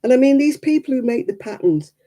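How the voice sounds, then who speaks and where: A British woman with a croaky, hoarse voice chats casually to live viewers in a wry, teasing way. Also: high-pitched, expressive, fairly quick, mellow. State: hoarse after illness. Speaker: livestreaming crafts vlogger.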